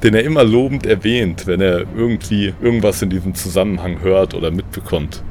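A man's voice: sits low, brisk, a little mellow, a little hiss or hum. A man narrating a comic audio play as host, with a playful, mock-dramatic storytelling manner.

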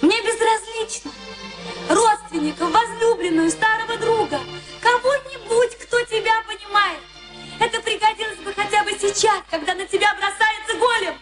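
A woman's voice: very high voice, moderate pace, a bit bright. An actress playing a detached, impatient young woman, speaking with pointed reproach in a Soviet radio play. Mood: protesting, impatient. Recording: archive radio play, music behind. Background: music.

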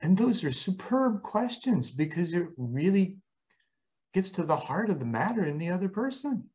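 A man hosting an interview in a reflective, casual way, speaking haltingly and often checking in with short tag questions. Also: high-pitched, big pitch swings, darkish tone, muffled recording. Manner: halting, often tags phrases with "right?". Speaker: interviewer, host.

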